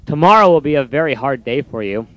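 A man voicing a patient, helpful male frog in a storybook reading, in a higher, gently coaxing tone. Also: animated, fast talker, no echo, muffled recording, natural voice.

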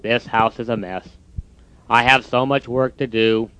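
A man voicing a lazy, grumbling male toad in a storybook reading, speaking low, slow and sulky. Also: fairly even intonation, fairly bright tone, a little hiss or hum, natural voice, poor audio.

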